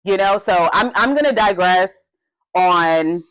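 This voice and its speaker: A young adult woman co-hosting a podcast, talking in an emphatic, passionate way with a loud voice that carries. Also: on the low side, takes it slowly, rough recording. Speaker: podcast co-host. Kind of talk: casual podcast discussion.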